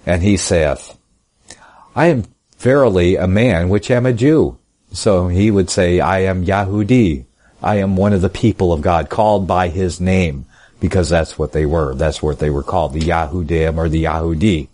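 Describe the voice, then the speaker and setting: Male preacher, very low voice, slow emphatic scripture reading with chatty asides. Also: dark tone. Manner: reads scripture slowly and emphatically, then explains in casual asides. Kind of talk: sermon reading and explaining scripture.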